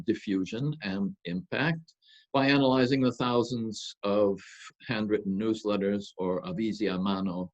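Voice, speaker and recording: A man introducing a guest speaker as host of an academic seminar, speaking semi-formally in a halting way with rephrasing. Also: on the low side, a little mellow, muffled recording.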